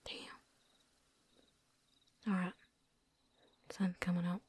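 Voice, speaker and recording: A woman's voice: on the low side, monotone, takes it slowly, a little mellow, exaggerated voice. Woman acting a whispering, tough female vampire hunter. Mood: low, steady. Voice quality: breathy, whispery. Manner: folksy drawl with dropped endings, put-on accent. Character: tough, world-weary female vampire hunter, blunt and loyal. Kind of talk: whispered ASMR roleplay.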